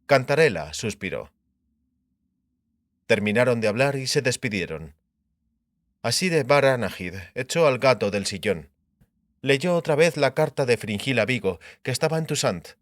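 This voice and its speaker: A man narrates a fantasy audiobook from a script. His voice is mid-pitched and the recording is very dry, with no room around it. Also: lively intonation, moderate pace, long pauses, no echo.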